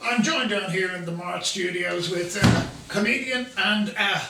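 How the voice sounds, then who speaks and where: A man's voice: high-pitched, normal speed, gravelly, heavy reverb, recorded from a distance, over loud music. A man hosting an interview, talking casually with many hesitant fillers and light jokes. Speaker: interview host.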